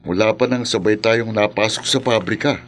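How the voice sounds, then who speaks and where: A man's voice: on the low side, moderate pace, soft background music, lightly acted. A man playing a plain-spoken young factory worker in a radio drama, explaining things conversationally with a Filipino accent. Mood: earnest. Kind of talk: horror radio drama acting.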